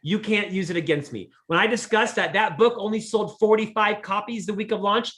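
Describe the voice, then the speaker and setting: A middle-aged man with a notably high voice talks as a podcast guest. He tells candid, self-deprecating stories in an earnest, conversational way. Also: fairly quick, a bit bright. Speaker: podcast guest, leadership expert. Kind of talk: conversational interview.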